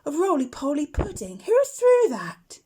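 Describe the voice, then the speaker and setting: A woman's voice: high voice, wildly swooping pitch, a little slow, voice pushed up, full character voice. A woman voicing a cheerful, teasing older boy, a matter-of-fact scout in a storybook. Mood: astonished. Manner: breezy, exclaiming, gently mocking.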